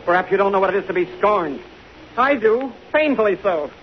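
A bitter, lovesick murderer, high-pitched and desperate, in melodramatic old radio style. The sound is muffled and slightly noisy. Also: male voice, expressive, brisk. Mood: bitter, despairing. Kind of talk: tense, melodramatic 1950s radio drama acting.

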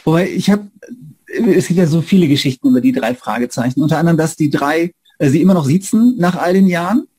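A man's voice: a little high, quick pace, warm tone, slightly rough. A man in his forties, casually putting questions as an interviewer on a video call. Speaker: interviewer, professor. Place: indoors, room with bookshelves, video call.

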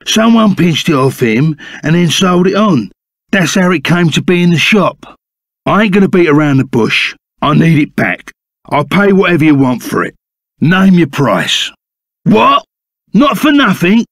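An actor playing a burly, rough but loyal bandit sidekick in a video game, with a gravelly Cockney voice and blunt, slangy speech. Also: male voice, on the high side, sing-song, measured pace, a bit bright, no room sound, clean studio sound, full character voice. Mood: blunt, insistent, then agitated.

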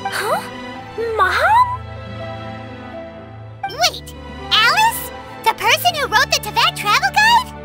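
A tiny, naive game girl. The voice is extremely high and swings about excitably with childlike wonder, over loud music. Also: slow pace, pauses often, heavily acted. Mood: excited, surprised. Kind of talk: video game voice acting. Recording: prominent music behind the voice.

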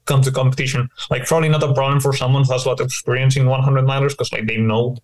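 A man's voice: medium pitch, level tone, very fast, darkish tone. A man co-hosting a podcast, speaking casually and rambling with many fillers as he works through an idea. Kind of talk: casual discussion.

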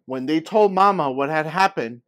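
Storybook narrator: earnest young girl, read by a man, dramatic delivery, raised pitch. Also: normal speed, fairly bright tone, natural voice. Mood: earnest, suspenseful. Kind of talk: scripted picture-book read-aloud.

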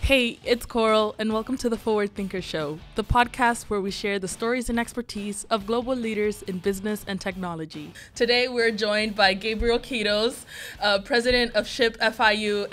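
A young woman with a mid-range voice hosts a studio podcast in an upbeat, chatty way. She asks questions into a desk microphone and fills her guest's pauses with encouraging murmurs. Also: mid-range voice, fairly bright tone, soft background music. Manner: upbeat, many "mm-hmm" backchannels. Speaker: podcast host. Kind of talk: casual podcast interview. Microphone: desk podcast mic with headphones.

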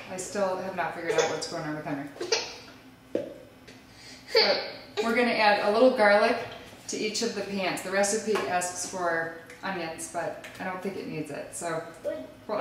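A woman's voice: mid-range voice, slight background noise. A woman in her thirties patiently talking through a casual home cooking demonstration while coaxing small children along. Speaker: mother hosting a home cooking video. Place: large home kitchen, indoors. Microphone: camera across the counter. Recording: echoey kitchen, distant mic, clattering pans. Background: dishes, pans, clicks, small children.

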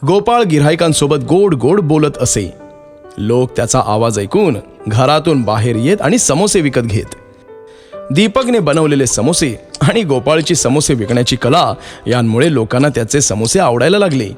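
A man's voice: medium pitch, sing-song, normal speed, faint music behind. A man narrating a scripted moral story for children.

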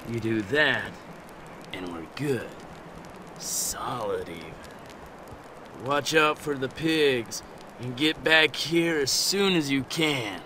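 An actor playing a shady, jittery hippie drug dealer who rambles and repeats himself in stoner slang for a video game. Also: male voice, mid-range voice, animated, slow pace, pauses often, pushed and bright, a little hiss or hum. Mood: placating, shifty. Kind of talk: video game cutscene acting.

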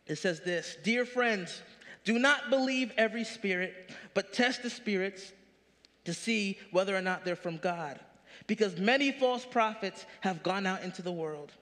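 A man in his thirties preaches from a big church stage, his high-set voice lifting into punchy questions. The sound rings out with the long reverb of a large hall. He is animated and direct, in full sermon mode. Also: a little slow. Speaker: pastor.

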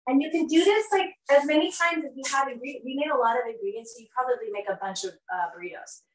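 A woman's voice: sits high, fast talker. A middle-aged woman leads a live cooking class in her home kitchen, chatting and hurrying as she cooks. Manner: chatty, upbeat, many fillers while cooking, moves around the stove. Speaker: nutrition educator hosting a live cooking class. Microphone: laptop or fixed camera across the kitchen. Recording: roomy kitchen sound from a distance.

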